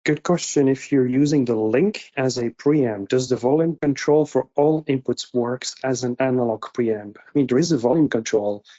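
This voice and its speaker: A man's voice: mid-range voice, moderate pace, few pauses, mellow. A middle-aged man moderating an informal online Q&A, reading out technical questions from the audience. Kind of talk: informal webinar Q&A. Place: indoor room on a video call. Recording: muffled video-call audio.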